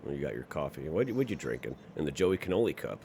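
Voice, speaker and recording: A man with a low voice hosts a casual livestream. He banters crudely and swears freely as he talks to co-hosts and viewers, with faint noise behind him. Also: big pitch swings, fast, gravelly, darkish tone. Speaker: livestream host.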